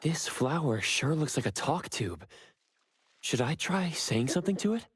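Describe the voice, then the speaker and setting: A man's voice: fairly high pitch, very animated, measured pace, slight background noise. An actor voicing a curious, easygoing and wry young male adventurer in an anime video game.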